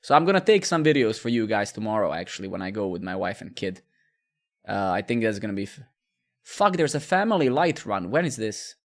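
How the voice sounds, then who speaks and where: Gravelly man chatting casually. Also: lowish voice, very animated, brisk. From Serbia. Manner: rambling, repeats words, swears casually. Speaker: live coding streamer. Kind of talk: casual chat with stream viewers.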